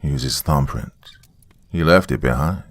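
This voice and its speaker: Guy doing a stern bossy warrior saint from a story, really deep voice, guiding a mortal. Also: a little slow, no room sound. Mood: stern, commanding. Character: stern commanding male saint, a heavenly warrior guide. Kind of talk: dramatic storytelling.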